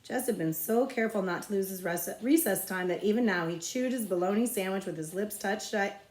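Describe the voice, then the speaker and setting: A woman narrating a children's novel aloud as a librarian would, with expressive storytelling. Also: mid-range voice, brisk. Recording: echoey, roomy sound.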